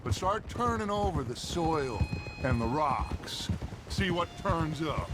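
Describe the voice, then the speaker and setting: Theatrical, high-pitched outlaw gang leader. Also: male voice, sing-song, slow pace, heavy background noise. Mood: commanding, persuasive. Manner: theatrical, glib, ingratiating. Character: smooth-talking scheming male outlaw gang leader in the Old West. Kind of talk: cinematic western video game acting.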